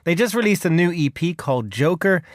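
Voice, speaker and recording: A male radio presenter with a fairly high voice and a polished delivery. He links the segments with smooth segues. The sound is dry and close to the mic. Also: lively intonation, fairly quick, dry recording. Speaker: radio host.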